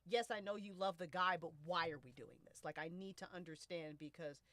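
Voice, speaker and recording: A woman around thirty with an American accent and a slightly low, bright, forceful voice. She talks as a podcast host in casual, emphatic, slangy language, recorded close and dry. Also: brisk, no room sound. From United States. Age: late 20s to early 30s. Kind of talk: casual panel chat.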